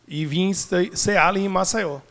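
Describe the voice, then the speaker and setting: A man's voice: sits high, measured pace, a bit bright. Bahian man telling his career story. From Salvador, Brazil. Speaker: guest, business analyst. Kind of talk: casual podcast interview.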